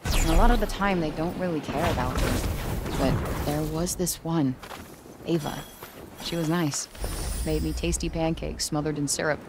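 Low-voiced wry young woman adventurer. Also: moderate pace, heavy background noise. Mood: wistful. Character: guarded, wry young woman adventurer raised in foster care. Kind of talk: video game voice acting.

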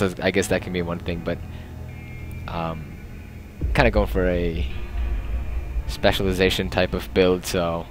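A young man casually narrating a game, rambling and joking as he plays. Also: low-pitched. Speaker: let's play host. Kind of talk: casual gameplay commentary.

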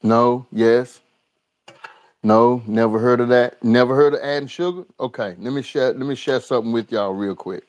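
A man's voice: mid-range voice, fairly even intonation, moderate pace. A man hosting a live cooking stream from his kitchen, chatting with viewers as y'all and repeating his lines. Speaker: cooking show host. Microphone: overhead camera on the skillet, speaker unseen.

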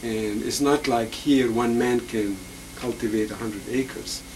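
A man in his sixties explains things patiently at a middling pitch, moving around as he talks. He sits well back from the camera microphone. Steady tape hiss runs under his voice. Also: measured pace. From Egypt. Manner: patient explaining, moves about handling instruments. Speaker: musician and lecturer. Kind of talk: instrument demonstration and interview. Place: indoor room full of instruments, then an office with bookshelves. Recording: distant, hissy old videotape.

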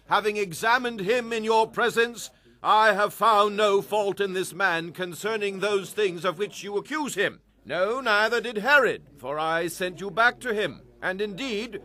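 Casting: a man putting on a tired Roman governor, pleading and slow, high bright tone. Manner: raised pitch, soft and distant. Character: weary Roman governor Pilate, pleading, middle-aged man. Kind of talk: dramatized scripture reading.

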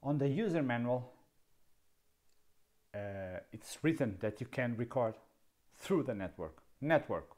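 A man in his fifties with a Portuguese accent, a native Portuguese speaker, explaining camera gear in a dry, sarcastic way, seated in a dark studio. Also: mid-range voice, lively intonation, a little slow, pauses often. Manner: wry, sarcastic, asks himself questions. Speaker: camera video host. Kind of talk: casual tech explainer.

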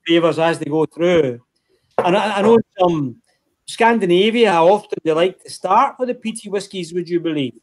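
A chatty man hosts a tasting over a video call, his voice sitting fairly high for a man. He talks in an easygoing, friendly way with quick asides, and the sound is plain laptop-call audio. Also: poor audio. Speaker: host of an online whisky tasting. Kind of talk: casual chat on a video call.

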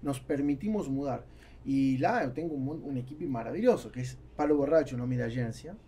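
A man's voice: medium pitch, brisk, dark tone. A man in his forties, a native Spanish speaker with an Argentine accent, talking casually as a podcast guest, searching for words and slipping Spanish into Portuguese. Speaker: guest entrepreneur. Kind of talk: casual podcast interview talk. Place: small padded podcast studio. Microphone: studio microphone on boom arm. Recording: close podcast mic with background noise.

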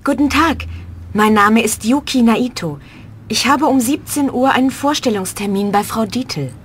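A woman's voice: on the high side, moderate pace, husky. A woman voicing a young woman from abroad applying for a job, reading a scripted textbook dialogue. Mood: polite. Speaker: language course dialogue voice.

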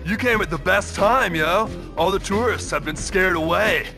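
A cocky, laid-back young surfer dude, high for a male voice, drawling slang as a cartoonish game shopkeeper. Loud music plays behind him. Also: normal speed, gravelly. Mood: laid-back, cool. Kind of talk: cartoonish video game voice acting.

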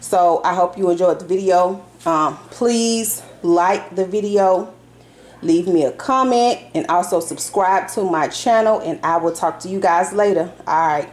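A woman vlogging from her home kitchen, talking viewers through her groceries in a relaxed, chatty way full of fillers and y'all. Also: lowish voice, measured pace. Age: adult parent. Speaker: vlogger showing a grocery haul. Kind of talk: casual chatty haul vlog.

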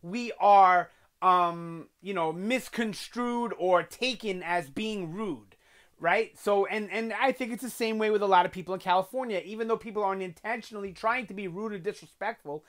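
Young New guy hosting a TV review channel, animated and loose, lots of "um" and "you know", webcam sound. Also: high-pitched, moderate pace. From New York. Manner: animated, gesturing a lot, chatty with fillers. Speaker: TV review host. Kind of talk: casual video review. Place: small bedroom with collectibles on shelves.

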